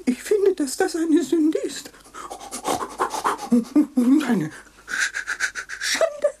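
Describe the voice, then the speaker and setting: An actress playing an eccentric, tipsy old lady of eighty-one in a satirical radio play, thin-voiced and halting, with giggles and repetitions. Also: very high-pitched, very animated, noisy background, exaggerated voice. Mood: disapproving. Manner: halting, drawn-out, giggly, repeats herself.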